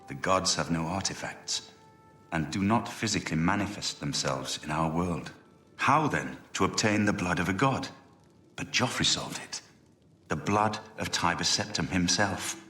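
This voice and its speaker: An actor playing an earnest, learned scholar in a fantasy video game, thoughtful and articulate, in an echoey space. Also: male voice, deep voice, lively intonation, measured pace, bright, forceful tone, soft background music, heavily acted. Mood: earnest, reflective. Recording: echoey room sound.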